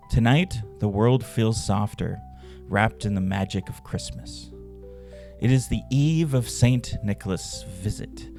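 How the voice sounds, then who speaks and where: A man hosting a podcast, reading scripted narration and stories in a measured, dramatic way. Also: on the low side, darkish tone. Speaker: podcast host and storyteller. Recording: roomy echo, faint music behind. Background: faint background music.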